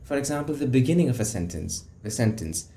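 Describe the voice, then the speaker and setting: A man's voice: mid-range voice, sing-song, fast talker, a little hiss or hum. A young man teaching public speaking in a video lesson, explaining and demonstrating how to vary his tone. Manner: demonstrates tones, shifts loudness for emphasis. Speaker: speaking coach. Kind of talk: instructional video talk.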